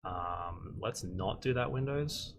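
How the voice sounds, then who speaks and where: A young man, a concept artist and instructor, chatting casually and rambling in a flat monotone while he works on a livestream. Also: low-pitched, slow pace, vocal fry, slight background noise. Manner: monotone, rambling with many fillers.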